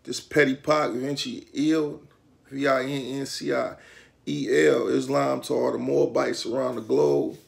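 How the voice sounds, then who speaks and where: A man's voice: mid-range voice, level tone, unhurried, vocal fry. A middle-aged man with a Detroit accent, talking to the camera in a small room at home, giving streetwise advice with lots of hand gestures. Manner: streetwise, instructive, gestures a lot with his hands. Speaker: vlogger. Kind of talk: casual talk to camera.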